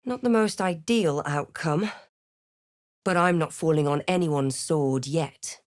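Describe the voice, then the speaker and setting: A woman voicing a wry, observant adventurer heroine in a video game, speaking scripted lines and narration in a measured, understated way. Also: low voice, darkish tone, dry recording, studio quality. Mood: dry, wry. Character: female adventurer hero, wry, observant, quietly guarded. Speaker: video game player character.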